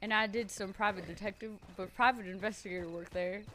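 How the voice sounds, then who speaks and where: A woman streamer chatting loudly and nervously to her viewers, thinking out loud and swearing freely. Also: medium pitch, steady tone, brisk, a little hiss or hum. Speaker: streamer playing a roleplay character. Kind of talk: casual chat to stream viewers.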